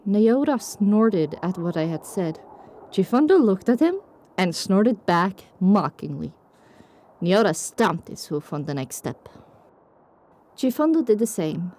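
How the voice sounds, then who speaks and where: A woman's voice: mid-range voice, lively intonation, measured pace, dark tone. A woman playing a reflective pony survivor, narrating her own story in a dramatic audiobook style. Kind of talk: dramatic first-person scripted narration.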